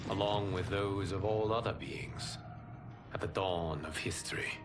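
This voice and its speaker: A man playing a calm, solemn godlike guardian in a video game, speaking in a formal, measured and grave way. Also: deep voice, over music. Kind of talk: dramatic video game acting.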